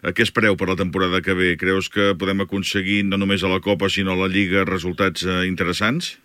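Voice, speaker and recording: A man with a very low voice hosts a local radio chat and asks quick conversational follow-up questions. His sound is close and dry, with no room echo. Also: level tone, bright, forceful tone. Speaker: radio host. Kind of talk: casual radio interview.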